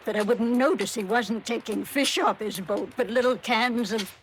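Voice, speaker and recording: Woman to play sweet, terrified seventyish female victim, 1950s radio drama; raised pitch, anxious, slight old-recording hiss. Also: moderate pace, close to an everyday voice. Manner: anxious, faltering, pleading. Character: frightened, sweet old lady in her seventies.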